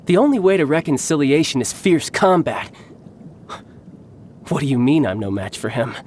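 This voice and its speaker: A man's voice: on the high side, big pitch swings, normal speed, a little mellow, slight background noise. An actor playing a cocky, battle-hungry young male warrior in a video game, theatrical, teasing and self-assured. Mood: amused, competitive. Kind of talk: scripted video game character voice lines.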